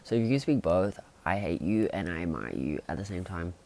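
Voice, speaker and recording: A young man chatting casually to camera in a rambling vlog, full of fillers and sudden excited jumps in pitch. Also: brisk. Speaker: vlogger.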